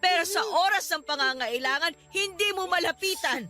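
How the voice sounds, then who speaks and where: A woman's voice: extremely high pitch, moderate pace, music in the background. A woman playing a stern, hurt older nanny in a Filipino radio drama, emotional and reproachful as she preaches to her grown ward. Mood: angry, reproachful.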